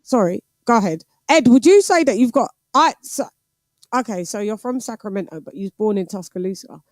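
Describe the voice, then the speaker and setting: A woman's voice: mid-range voice, no echo. Playful woman host. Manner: lively, playful, quick to laugh. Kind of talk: casual chat.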